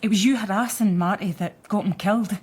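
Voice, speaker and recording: A woman's voice: medium pitch, a little fast, a little mellow, breathy edge, close to an everyday voice. Defiant, surly Scottish woman, played by an actress. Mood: defensive. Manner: blunt, defensive. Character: defiant, surly working-class woman, grieving girlfriend. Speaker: actor in a radio crime drama. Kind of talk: radio drama acting.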